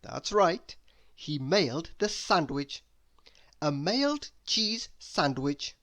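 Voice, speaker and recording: A woman reading a children's story aloud as an expressive narrator. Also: deep voice, slow pace. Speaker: story reader.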